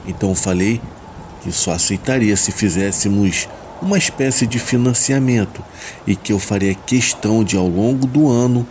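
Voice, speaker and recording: A man's voice: fairly low pitch, normal speed. A man with a Brazilian accent reading a scripted first-person horror story as a narrator. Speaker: narrator of listener-submitted horror stories. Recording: steady rain noise behind the voice. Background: rain ambience.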